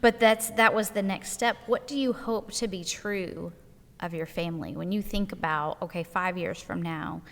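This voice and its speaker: A woman's voice: fairly low pitch, normal speed, big-room sound. A woman in her forties hosting a casual church podcast conversation, chatty and rambling with lots of fillers. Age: 40s.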